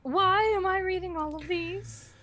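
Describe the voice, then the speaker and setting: High-voiced woman with dry banter. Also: steady tone, a little slow, pushed and bright. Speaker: guest reader in a book club. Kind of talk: casual banter.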